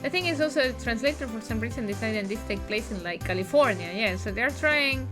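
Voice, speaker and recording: Chatty woman streamer, lively voice. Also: fairly high pitch, brisk, music in the background. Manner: chatty, rambling, many restarts. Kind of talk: casual game-stream chat.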